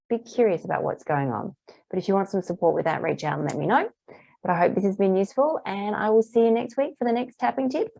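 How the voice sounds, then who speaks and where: Woman practitioner leading a tapping lesson: warm, slightly low, steady and repetitive, slightly hoarse; muffled close recording. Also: no room sound. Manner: calm, repetitive guiding phrases. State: slightly hoarse, clearing throat. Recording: dull, muffled dry recording.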